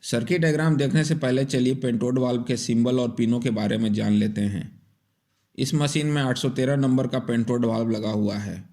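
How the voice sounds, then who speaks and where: A man narrates a technical repair tutorial from a script. His voice sits at a medium pitch, and he explains each part methodically, one step after another. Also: fairly even intonation, fairly quick, mellow.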